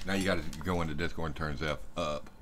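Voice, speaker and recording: Very deep-voiced man on voice chat. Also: steady tone, fast talker, noisy background. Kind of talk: casual chat.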